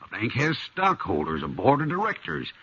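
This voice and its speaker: An apologetic, anxious frontier banker, with a husky, lively voice that rises and falls as he pleads. The old radio recording sounds dull and lo-fi. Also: fairly low pitch, moderate pace, full character voice. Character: anxious small-town male banker, middle-aged, apologetic. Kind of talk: 1950s radio western drama acting.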